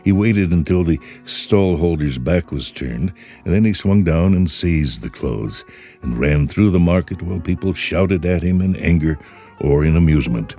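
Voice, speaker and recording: A man reading a story aloud in a measured, expressive way. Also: low voice. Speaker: narrator. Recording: music bed under the voice.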